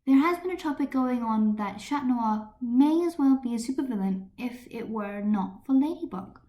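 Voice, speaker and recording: A woman's voice: on the high side, lively intonation, moderate pace, warm tone, lightly acted. A woman voicing a firm, orderly female schoolteacher with an instructive manner, in an amateur story reading. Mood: explaining.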